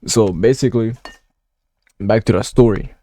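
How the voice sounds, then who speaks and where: A man's voice: on the low side, moderate pace, warm tone, no echo. A nineteen-year-old man from Puerto Rico, rambling through a casual gaming vlog story with lots of swearing and fillers. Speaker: gaming content creator.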